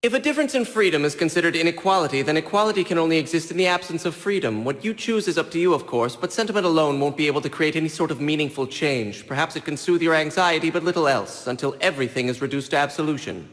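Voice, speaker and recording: Smug eccentric genius villain, youthful high voice, animated delivery, precise theatrical diction, video game, echoey. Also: male voice, fast, hardly any pauses. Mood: condescending lecturing.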